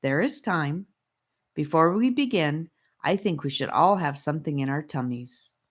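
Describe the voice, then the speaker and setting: A kindly male storybook guide, voiced by a woman, speaking patiently and with lively intonation. He is friendly and reassuring, with a parental manner. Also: deep voice, normal speed, slightly rough.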